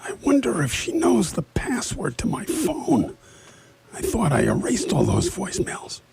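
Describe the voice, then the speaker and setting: An actor playing a harried, grumbling sheriff with a bad stomach in a radio play, husky and creaky, prone to mild folksy cursing. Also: male voice, on the high side, wildly swooping pitch, unhurried, darkish tone, exaggerated voice. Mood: flustered. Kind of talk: radio theatre acting.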